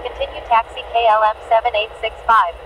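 A woman's voice: on the high side, little pitch movement, quick pace, fairly bright tone, low-quality recording. A woman giving formal, procedural air traffic radio calls in clipped, scripted phraseology. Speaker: air traffic controller and pilot radio voice in a game. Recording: faint radio background noise.

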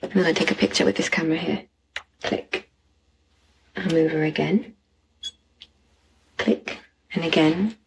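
A woman in a film scene who shows her craft step by step in a calm, patient way. Her voice sits low and comes out rough with heavy fry. It is breathy and husky rather than clean. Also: measured pace, pauses often, exaggerated voice. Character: adult woman patiently showing how stop-motion works.

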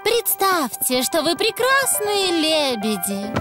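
An actress playing a kindly, orderly gazelle schoolteacher in a children's cartoon, with a deliberate, encouraging, sing-song delivery. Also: female voice, very high voice, big pitch swings, loud music behind the voice, full character voice. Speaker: teacher.

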